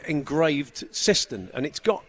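A man's voice: fairly high pitch, sing-song, measured pace, a bit bright. A man co-hosting a podcast, chatting casually with a dry, joking manner. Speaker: podcast co-host. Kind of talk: casual chat. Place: indoors, travelling abroad.

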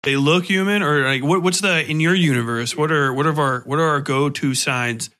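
A man's voice: a little high, moderate pace, sharp tone, soft background music. A man hosting a podcast, chatting casually and asking informal, jokey questions. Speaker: podcast host, interviewer. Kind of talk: casual interview chat.

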